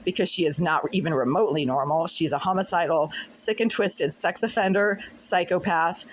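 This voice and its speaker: A middle-aged woman with a scratchy, husky voice, talking insistently and repetitively to a phone camera in her apartment. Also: lowish voice, normal speed. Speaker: vlogger. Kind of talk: monologue to camera. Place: apartment room.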